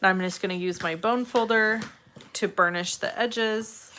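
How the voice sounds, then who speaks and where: Woman, bright forward voice, slight rasp, congested, medium pitch, friendly craft host, casual step-by-step instruction. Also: measured pace, a little hiss or hum. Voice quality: slightly raspy. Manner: friendly direct address to viewers. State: congested, irritated throat from allergies.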